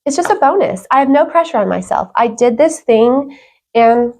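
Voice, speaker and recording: A woman's voice: high voice, animated, normal speed, a little mellow. A woman talking casually as an interview guest, earnest and emphatic in how she makes her points. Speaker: podcast guest.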